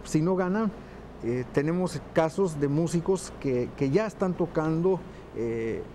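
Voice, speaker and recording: A man in his forties speaks calmly with a mellow, slightly high voice, filling his pauses with small hesitations. He is being interviewed on an indoor stage with faint street noise behind him. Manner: calm, explanatory, frequent "este" fillers. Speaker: interview guest, music juror. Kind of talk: casual interview answer.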